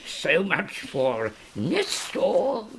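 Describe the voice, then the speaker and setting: A woman playing a courtly, teasing Greek commander with a husky voice in a Shakespearean audio drama. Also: fairly low pitch, sing-song, drawn-out words, full character voice. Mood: courtly, wry.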